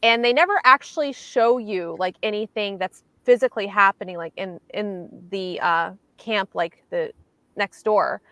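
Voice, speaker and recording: Woman, medium pitch, very dry sound, halting reflective casual talk. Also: pushed and bright, dry recording. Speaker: host of reading livestream. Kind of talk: casual chat.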